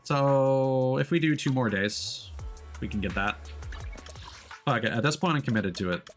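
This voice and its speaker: A man's voice: mid-range voice, measured pace, soft background music. A man giving casual live game-stream commentary, thinking aloud in a hesitant, rambling way. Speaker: streamer, VTuber.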